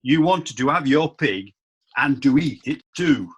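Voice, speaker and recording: A man playing a medieval court judge who delivers formal, measured rulings. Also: medium pitch, expressive, husky, dull audio. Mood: stern.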